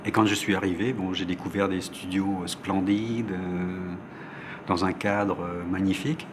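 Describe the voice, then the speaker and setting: Male interviewee, very low voice, casual recollections, clearly noisy ambience. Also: steady tone, moderate pace. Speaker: interviewee, animator.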